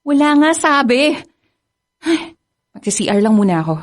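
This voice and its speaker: A woman's voice: on the high side, big pitch swings, moderate pace. Curt, evasive girlfriend. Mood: cold. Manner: curt, dismissive, snappish. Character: young woman, cold, evasive cheating girlfriend. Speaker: girlfriend character. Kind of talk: Filipino radio drama acting.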